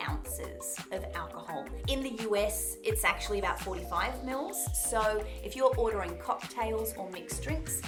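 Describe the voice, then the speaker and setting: A woman with an Australian accent hosting a video, chatting informally through tips in an upbeat, emphatic way. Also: mid-range voice. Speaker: video host, accent tutor. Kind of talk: chatty scripted travel tips to camera.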